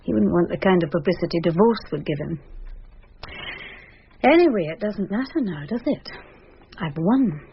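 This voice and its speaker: A bitter wife in her forties in a radio detective play. Her voice is lowish and husky, her delivery cold and full of scorn, on a muffled recording. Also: animated, normal speed, long pauses, heavily acted. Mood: cold triumph. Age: 40s. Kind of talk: radio crime drama acting.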